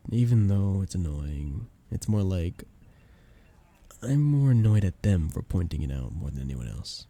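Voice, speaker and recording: A young man acting a flustered best friend with a hidden crush in an intimate ASMR romance roleplay, soft-spoken, casual and hesitant. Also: fairly low pitch, exaggerated voice.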